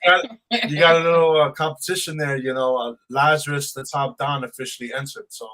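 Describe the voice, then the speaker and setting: A man's voice: fairly high pitch, steady tone, brisk, bright, forceful tone, low-quality recording. A man co-hosting a live radio show in casual, conversational chat. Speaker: radio co-host.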